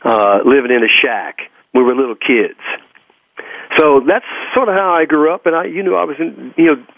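A man's voice: a little high, normal speed, creaky, no room sound, narrow, tinny audio. A man with a Texas accent giving motivational talk and personal stories as an interview guest, emphatic and given to repeating phrases for effect. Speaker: motivational speaker and coach being interviewed.

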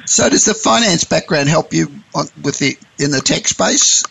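A man's voice: a little high, lively intonation, moderate pace, vocal fry, fairly bright tone, slight background noise. A male radio host running a business interview. He keeps his questions short and drops in brief agreement. Now and then he teases his guest lightly. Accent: non-standard regional. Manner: brief acknowledgements and friendly teasing.

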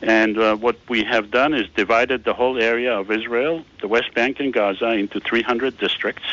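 A man guest on a radio talk show, talking at a middle pitch in halting phrases full of "uh"s as he patiently explains his plan. The sound is a little dull and lo-fi, like an old radio broadcast. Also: fairly even intonation, a bit bright. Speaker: guest, founder of a peace initiative. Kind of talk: radio interview.

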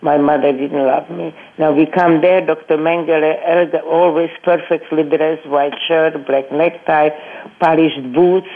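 An older woman giving her personal testimony in an interview, speaking halting, non-native English with frequent restarts and repeated words. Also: sits low, fairly even intonation. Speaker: Holocaust survivor guest. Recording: tinny phone line.

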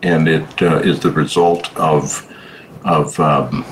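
Super deep dude hosting radio, slow and halting, lots of ums, bit of faint noise behind. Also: male voice, fairly even intonation, rough recording. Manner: slow, halting, many fillers. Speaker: radio host. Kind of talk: interview chat.

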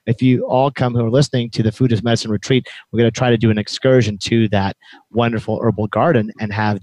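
A man's voice: mid-range voice, a little mellow, no echo, low-quality recording. Eager male interview host with a dry, close-miked sound. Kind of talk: interview chat.

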